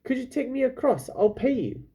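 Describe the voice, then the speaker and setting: A man's voice: very high voice, big pitch swings, normal speed, dark tone, husky, pitched above the performer's own voice, heavily acted. A man voicing a plucky young girl from a storybook, speaking politely and directly. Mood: polite, hopeful.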